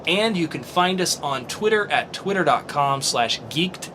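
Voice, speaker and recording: A man's voice: fairly high pitch, animated, unhurried, background noise. A man hosting a casual podcast, thinking aloud and swearing casually as he reasons. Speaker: podcast host.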